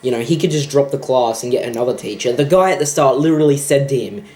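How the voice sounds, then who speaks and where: A man's voice: mid-range voice, fast talker, a little mellow. A young man ranting about a film in a sarcastic, profane, exasperated way. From Australia. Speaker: vlogger. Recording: echoey room.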